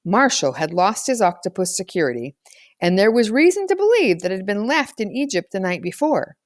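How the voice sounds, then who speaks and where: A woman narrating a children's novel aloud as an expressive storyteller. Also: medium pitch, brisk, no echo, clean studio sound. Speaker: audiobook reader.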